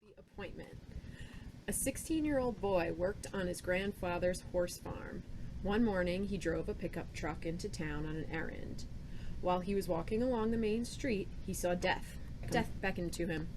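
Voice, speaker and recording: A woman's voice: lowish voice, moderate pace, background noise. A woman reading a short story aloud as a scripted narration. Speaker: library staff reader.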